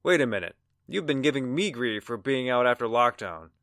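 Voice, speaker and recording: A man acting a mouthy, sarcastic, excitable busybody in a pulp radio drama, needling in a colloquial working-class way. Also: medium pitch, brisk, dry recording, clean studio sound. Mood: sarcastic, accusing.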